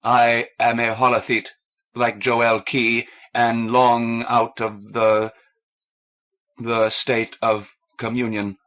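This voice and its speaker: A man voicing a big, courteous, melancholy alien in an audiobook, speaking slowly and formally. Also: medium pitch, flat delivery, fairly bright tone. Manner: slow, formal, deliberate.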